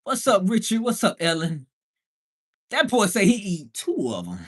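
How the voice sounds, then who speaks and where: Animated, slangy man hosting a livestream. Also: high-pitched, normal speed, husky. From Bahamian background. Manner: slangy, playful, emphatic exclamations. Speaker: livestream host and house flipper. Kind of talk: casual livestream chat.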